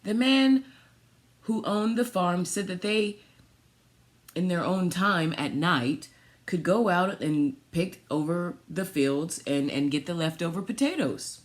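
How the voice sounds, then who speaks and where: Female storytime reader, fairly low voice, very unhurried, reads picture-book text and points things out to young listeners. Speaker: storytime reader for a children's theatre. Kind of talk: read-aloud picture book storytelling with asides.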